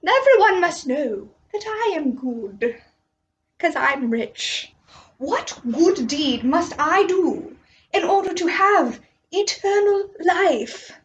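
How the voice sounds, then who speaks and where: A woman's voice: very high-pitched, very animated, takes it slowly, muffled recording, full character voice. A woman voicing a pompous, self-important rich young man in a children's Bible story. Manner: pompous, grandiose words.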